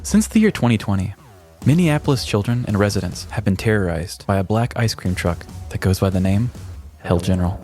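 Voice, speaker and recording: A man's voice: fairly low pitch, expressive, brisk, darkish tone, soft background music. A man podcast host reading a scripted comedic story in a mock-dramatic way.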